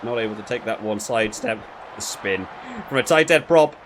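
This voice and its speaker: A man's voice: fairly low pitch, moderate pace, fairly bright tone. Man doing casual game commentary over background noise. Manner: rambling, thinking aloud with frequent hedging. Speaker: gaming video creator reviewing a rugby game.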